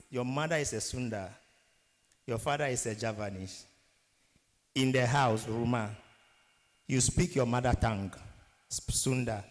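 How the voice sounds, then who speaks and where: A man in his thirties from Ghana with a Ghanaian English accent, giving an emphatic motivational workshop lecture and throwing rhetorical questions at his audience. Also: medium pitch. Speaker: guest lecturer. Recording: long hall reverb.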